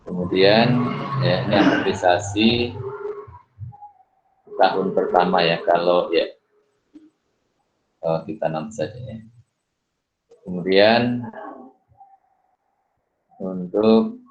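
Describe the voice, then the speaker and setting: Man, middle-aged, very low voice, accounting lecture, unhurried, many "ya" fillers, muffled lo-fi sound. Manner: unhurried, fills pauses with "ya" and "eh". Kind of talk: recorded lesson working through exam problems. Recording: dull lo-fi recording.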